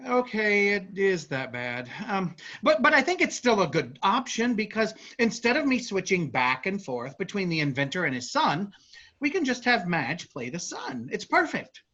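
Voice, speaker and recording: A woman's voice: mid-range voice, big pitch swings, normal speed, fairly bright tone, highs cut off. A woman playing an eager, inventive traveling actor in a staged reading, enthusiastic with some hesitant phrasing.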